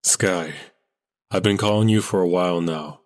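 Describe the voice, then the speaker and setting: A man's voice: low-pitched, normal speed, natural voice. An actor playing a young man leaving earnest, vulnerable phone messages for his estranged sister in a radio drama.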